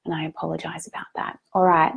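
A woman with a head cold, sounding a bit nasal, hosting a live group coaching call in a gentle, reassuring way with frequent ums and restarts. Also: low-pitched, level tone, fast, vocal fry, slightly rough.

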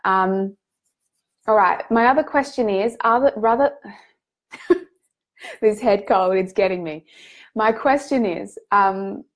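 A woman with a head cold, sounding a bit nasal, hosting a live group coaching call in a gentle, reassuring way with frequent ums and restarts. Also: mid-range voice, slow, long pauses.

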